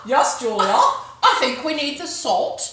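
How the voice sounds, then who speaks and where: A woman's voice: high-pitched, lively intonation, unhurried, fairly bright tone, a little hiss or hum. Chatty middle-aged woman in an echoey kitchen. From Sacramento area. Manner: chatty, joking, talks over others. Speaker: guest friend. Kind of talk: casual kitchen chat. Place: home kitchen. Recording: echoey kitchen, pans clattering.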